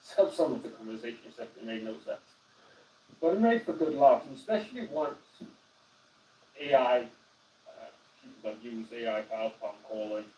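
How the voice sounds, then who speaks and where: A man with a Danish accent gives an animated, casual walkthrough of AI phone agents on a live stream, often restarting his sentences. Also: mid-range voice, distant mic, a little hiss or hum. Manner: animated, explanatory, with many restarts. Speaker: guest explaining AI phone agents. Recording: roomy echo, voice away from the mic.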